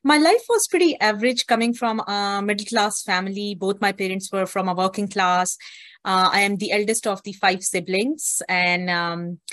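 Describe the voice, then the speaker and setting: A woman's voice: mid-range voice, normal speed, a bit bright, no room sound. A woman, an interview guest telling her life story earnestly, with frequent fillers. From Pakistan.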